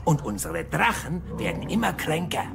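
An actor playing a blunt, crusty Viking village healer in an audio drama, with a gruff, dramatic delivery. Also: male voice, fairly high pitch, lively intonation, normal speed, crowd noise. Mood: urgent explaining.

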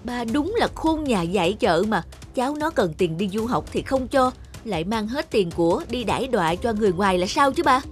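An actor playing an angry, accusing middle-aged son in a cartoon, scolding forcefully. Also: male voice, high-pitched, expressive, fairly quick, faint music behind. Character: indignant, accusing middle-aged son, heavyset and hot-tempered.

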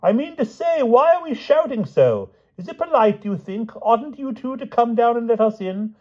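A man voicing a giddy, excitable, dithering old-fashioned king in a storybook, chatty and blustering. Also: high voice, normal speed, husky, lo-fi sound, pitched above the performer's own voice, exaggerated voice. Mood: excited. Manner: blustering, chatty, repetitive questioning.

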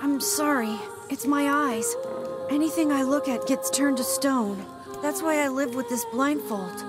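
A woman's voice: sits high, unhurried, loud music behind the voice. A woman voicing a polite, earnest young boy, master of a manor, in a video game, with a light youthful voice. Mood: apologetic. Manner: polite, earnest, eager to help.

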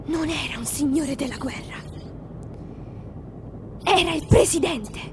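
A woman's voice: high-pitched, a little slow, noisy background. A woman playing a wounded, defiant young woman agent who feels betrayed, in a video game fan dub. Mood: accusing. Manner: intense, bitter, emotional.

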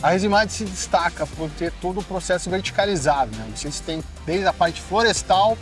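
A man's voice: high-pitched, fairly quick, soft background music. Upbeat Brazilian man hosting a sawmill tour. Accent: Brazilian Portuguese. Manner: upbeat, leading questions. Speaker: host. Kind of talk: on-site company tour interview. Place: outdoors at a sawmill.

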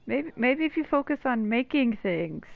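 A woman's voice: a little high, sing-song, moderate pace, gravelly, phone-quality sound. A woman streaming a video game, giving casual, joking commentary as she plays. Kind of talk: casual live gameplay commentary. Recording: stream mic over game music. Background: game music.

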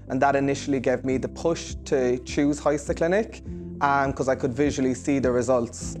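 A man's voice: medium pitch, a little mellow. A young man, a hairdresser, speaking earnestly and reassuringly in an interview testimonial, with a few fillers and restarts, recorded on a lapel mic in a salon. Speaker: hairdresser giving a clinic testimonial. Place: hair salon, indoors.

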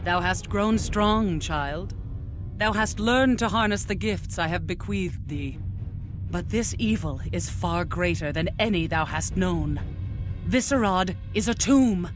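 An actress playing a stern, foreboding vampire elder in a video game, speaking formally and gravely in archaic phrases. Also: female voice, on the low side, measured pace, music in the background, natural voice.

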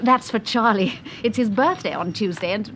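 A woman's voice: mid-range voice, moderate pace, fairly bright tone, lightly acted. An actress playing a courteous, well-bred English married woman with a British accent in a vintage radio drama. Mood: polite. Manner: courteous, proper. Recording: noisy old radio drama recording.

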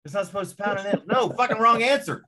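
A man's voice: sits high, fast talker, a crowd in the background. A man who grew up in Indonesia with American parents, talking as a podcast guest in casual chat, emphatic and profane, ranting with force.

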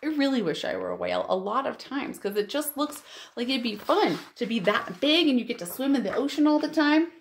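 A woman in her thirties, a children's librarian, reads a picture book aloud and chats to young listeners with expressive wonder and drawn-out emphasis. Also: high voice, gravelly, slight background noise. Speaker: children's librarian hosting storytime. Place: living room at home, indoors.

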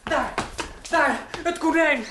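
An actor playing a frightened, superstitious young lab helper who stammers in panic, in an old radio drama. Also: male voice, very high voice, takes it slowly, very noisy. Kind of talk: 1960s Dutch radio drama acting.

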